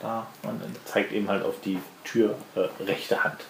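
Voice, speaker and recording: Gravelly male game master, echoey room. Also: lowish voice, flat delivery, moderate pace. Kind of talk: improvised tabletop game narration. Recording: echoey room with clear background noise.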